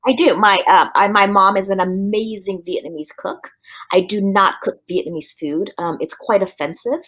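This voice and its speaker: A woman's voice: medium pitch, normal speed, fairly bright tone. A woman chef from Los Angeles, talking casually in an interview, thoughtful with many fillers and restarts. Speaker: chef and restaurant owner, guest.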